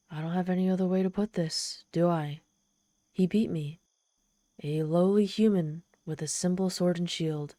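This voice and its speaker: A woman plays a haughty female demon telling her tale straight to the listener in an ASMR roleplay. Her voice is slightly low and mellow, with a dry, roomless sound. She is theatrical and proud, yet easily flustered and exasperated. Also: dry recording. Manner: haughty, theatrical, halting when embarrassed.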